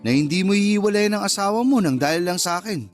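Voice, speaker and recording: A man's voice: sits high, lively intonation, fast, soft background music. A man playing the wistful, sulky lover of a married woman in a Tagalog radio love drama, casual and understated. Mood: hurt, resigned.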